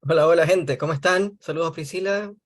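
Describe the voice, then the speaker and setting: Venezuelan guest, elder, friendly talker who prays fervently, slightly high voice. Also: male voice, a little fast. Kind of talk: casual introduction and spoken prayer.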